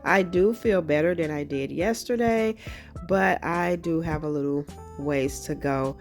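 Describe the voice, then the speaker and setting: Casting note: a friendly woman with a lowish voice and a slight cold-rasp, relaxed crafting vlog chatter, soft music underneath. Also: unhurried. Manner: friendly, chatty, frequent ums. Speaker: craft channel host. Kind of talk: casual craft tutorial narration.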